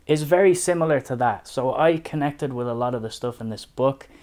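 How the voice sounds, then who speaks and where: A man's voice: mid-range voice, darkish tone. Dublin guy chatting about a book, young adult, easygoing and reflective. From Ireland. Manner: measured, thoughtful, with restarts. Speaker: book reviewer. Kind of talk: casual spoken review.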